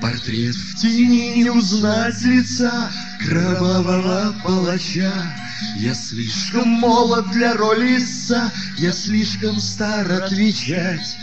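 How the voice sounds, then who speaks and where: Dull lo-fi recording, loud plucked guitar, man singing, high voice, slow bard song. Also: animated. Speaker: singer-songwriter. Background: plucked acoustic guitar.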